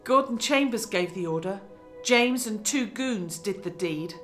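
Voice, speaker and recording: A woman's voice: medium pitch, lively intonation, a little slow, soft background music, lightly acted. A woman playing a determined, earnest news reporter in a radio drama, with emphatic delivery.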